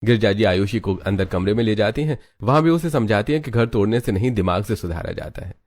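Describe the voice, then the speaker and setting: A man with a somewhat low voice narrates a cartoon story. He keeps a steady, even storytelling manner. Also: a little fast. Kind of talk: scripted narration.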